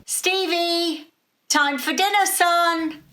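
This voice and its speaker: A woman's voice: very high-pitched, steady tone, takes it slowly, bright, forceful tone, reverberant, pitched above the performer's own voice, exaggerated voice. A woman voicing a preoccupied, fretful father in a story, muttering as he goes. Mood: calling out. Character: busy, distracted father, fretful and annoyed.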